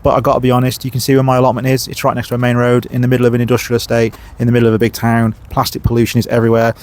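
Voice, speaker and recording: A man's voice: mid-range voice, completely flat delivery, racing, a little mellow. Sniffly man chatting at his allotment. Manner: chatty, lots of uhs and ums. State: sniffly with a cold. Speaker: gardening channel host. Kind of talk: casual how-to vlog. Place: outdoor allotment by a main road.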